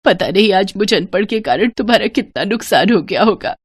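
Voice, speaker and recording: A woman's voice: mid-range voice, expressive, brisk, slightly rough, no room sound, studio quality. A woman voicing an illiterate, trusting older mother-in-law in a story, homely, earnest and a little fretful. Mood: worried, regretful.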